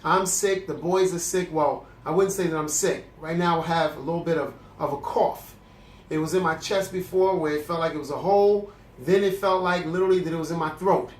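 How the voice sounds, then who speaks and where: Young male host, congested, earnest and preachy, higher voice, roomy echo. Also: brisk, slight background noise. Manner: earnest, preacherly, with frequent restarts. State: congested, with a cough. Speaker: host of a celibacy video series. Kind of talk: candid faith talk to camera. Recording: echoey room.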